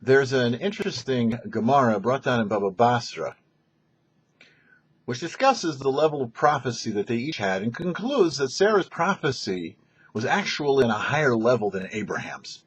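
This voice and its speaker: A man speaking as a rabbi, delivering scripted religious teaching in a deliberate, didactic way. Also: medium pitch, sing-song, slightly rough, lo-fi sound. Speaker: rabbi presenting a weekly Torah show.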